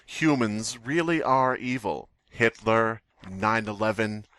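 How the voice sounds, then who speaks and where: A man's voice: lowish voice, slow pace, crowd noise. A man co-hosting a podcast, joking around and reading stories aloud in a wry, mock-outraged manner. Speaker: podcast co-host.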